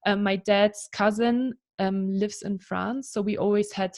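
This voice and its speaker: A woman's voice: mid-range voice, steady tone, a little slow, darkish tone. A German woman in her thirties, a guest in a casual interview, talking in a chatty way with lots of "kind of" and "you know".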